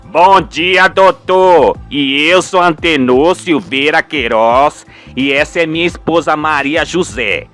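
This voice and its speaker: A man's voice: fairly high pitch, slow, a bit bright, soft background music, voice pushed down. A man voicing an indignant old cheesemaker, folksy and emphatic, in a radio soap opera. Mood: respectful, earnest.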